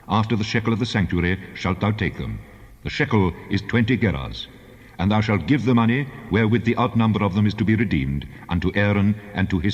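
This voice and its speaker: Man voicing God, deep and cavernously reverberant. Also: darkish tone, natural voice. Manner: solemn, deliberate, commanding. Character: the Lord God, solemn commanding male deity. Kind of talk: dramatized scripture reading. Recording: long cavernous reverb, dull sound.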